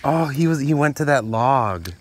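A young man filming a casual fishing vlog outdoors by a pond, talking in a laid-back way with excited bursts when a fish bites. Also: medium pitch, moderate pace, background noise. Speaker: fishing vlogger.

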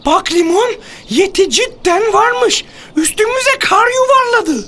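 A woman voicing a bossy, excitable young cartoon chick who is hungry for adventure and exclaims eagerly and theatrically. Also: very high voice, slow pace, exaggerated voice. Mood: excited, insistent.

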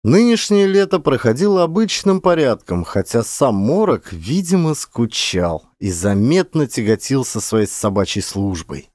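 A man's voice: medium pitch, very animated, a little slow, hardly any pauses, a little mellow, no echo, clean studio sound. A man narrating a literary story as an audiobook actor, with dramatic, varied emphasis.

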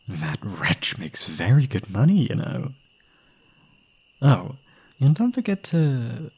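A young man playing an obsessive, gleeful yandere kidnapper in an intimate ASMR roleplay, doting on the listener in a breathy voice. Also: lowish voice, a little mellow, full character voice. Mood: mocking. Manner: doting pet names, unhinged chuckling, swinging into whispers.

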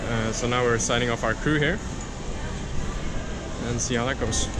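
A man's voice: mid-range voice, steady tone, normal speed, very noisy. A young Swedish man hosting a sailing vlog, talking loosely to a handheld camera outdoors in a busy harbor town. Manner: loose, rambling, fillers and restarts. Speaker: sailing vlogger host. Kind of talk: casual vlog talk to camera. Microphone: handheld action camera.

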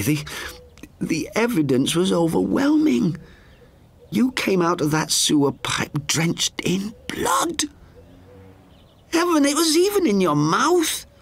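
A woman's voice: fairly low pitch, breathy edge, pitched above the performer's own voice, heavily acted. A man voicing an anxious older woman who pleads with her brother in a storybook reading.

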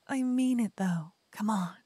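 A woman's voice: on the high side, slightly rough, no room sound. A woman playing a teasing whispering lover. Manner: playful, teasing, soft whispering. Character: cocky playful young woman, a devoted lover waking her partner. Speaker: ASMR roleplay performer. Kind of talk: whispered ASMR roleplay. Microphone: binaural mic.

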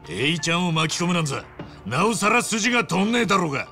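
A man voicing a loyal, big-hearted middle-aged ex-yakuza hero with blunt, rough street speech in a video game. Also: sits high, big pitch swings, fast talker, bright, forceful tone, faint music behind, exaggerated voice. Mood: defiant, protective. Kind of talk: Japanese video game voice acting.

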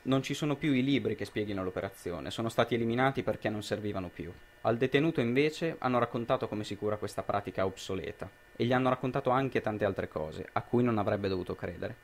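Young man playing a fast-talking, officious state expert. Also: fairly low pitch, slight background noise, lightly acted. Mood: stern, impatient. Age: young adult. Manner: clipped, formal, authoritative. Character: brusque, officious male expert sent by an authoritarian regime. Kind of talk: amateur radio drama acting.

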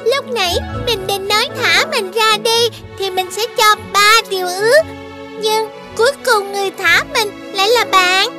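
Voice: very high voice, moderate pace, loud music behind the voice. A performer voicing a tiny young magic fish in a children's cartoon, in a cute, childlike manner. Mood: friendly.

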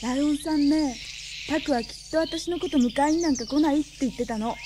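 A woman's voice: high-pitched, a little fast, lightly acted. An actress playing a carefree, girlish young woman who is unafraid, unruffled in a radio drama. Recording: noisy animal ambience behind.

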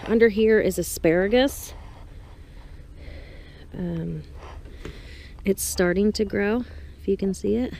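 Slow-talking woman giving a garden tour. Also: medium pitch, darkish tone. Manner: unhurried, pausing between short phrases. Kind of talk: casual narrated walk-through, chatty. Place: small greenhouse and open farm garden, outdoors. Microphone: action camera's built-in mic, worn or handheld. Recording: outdoor handheld action camera with background noise. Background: clear ambient outdoor noise.

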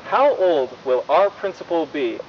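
A man's voice: on the high side, expressive, unhurried. Young man playing a formal male student newscaster, faintly menacing, polished delivery, muffled old broadcast audio, slight noise. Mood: formal, upbeat. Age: young adult. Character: cheery, faintly menacing male high school news anchor. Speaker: news host. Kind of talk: 1990s school broadcast presenting, analog horror acting. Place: outdoors in a forest at night. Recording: dull lo-fi VHS-style audio, faint noise.

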